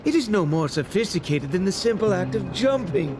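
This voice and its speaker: A man's voice: sits high, animated, brisk, noisy background. A man voicing a pompous monkey philosopher in a game, lecturing in wordy pronouncements. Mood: solemn, preachy.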